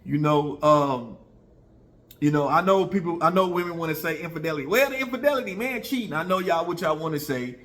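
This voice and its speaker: Higher-voiced American man in his forties, chatting. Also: lively intonation, fairly quick, fairly bright tone. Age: early 40s. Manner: conversational, repeats himself for emphasis, frequently checks in with listeners. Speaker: online video commentator. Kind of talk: casual opinion talk to viewers.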